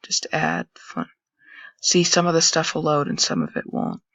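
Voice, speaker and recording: A young man with a raspy voice, casually commenting and thinking aloud while browsing old web pages on a screen recording. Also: a little high, sing-song, normal speed, pushed and bright, no echo. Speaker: channel host. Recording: dull lo-fi dry recording.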